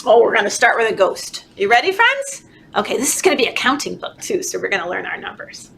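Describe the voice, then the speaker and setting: A woman leading a children's story time, reading aloud in an animated, encouraging, sing-song way, as if talking to toddlers. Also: fairly high pitch, quick pace. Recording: clearly noisy background.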